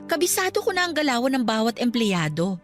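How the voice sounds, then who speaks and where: A woman's voice: fairly high pitch, big pitch swings, a little fast, soft background music. An actress playing a haughty, threatening female office superior in a Filipino radio drama, dismissive and condescending.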